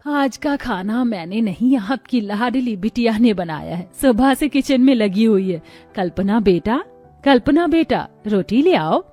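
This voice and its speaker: A woman's voice: fairly high pitch, expressive, unhurried, warm tone. Woman playing cartoon mum, middle-aged, worried, scolding, faint music behind. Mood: matter-of-fact.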